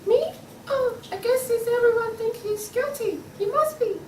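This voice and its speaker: Tiny, extremely high-voiced pig juror, meek and eager to agree; distant mic in an echoey room. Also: female voice, moderate pace, a little mellow, a little hiss or hum. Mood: timid, eager. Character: timid squeaky pig juror who agrees with everyone. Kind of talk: student table read of a comic play.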